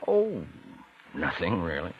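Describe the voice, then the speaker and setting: An actor playing a sly, folksy investigator in a vintage radio drama, speaking casually and deliberately with knowing insinuation. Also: male voice, deep voice, huge pitch swings, slightly rough, exaggerated voice. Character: sly, folksy, patient investigator needling a suspect. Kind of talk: 1940s-50s radio acting. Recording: muffled vintage radio recording, faint noise.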